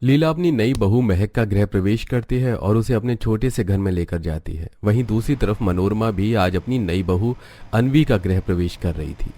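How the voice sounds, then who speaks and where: A man's voice: on the low side, moderate pace, dark tone. A man narrating a scripted cartoon story.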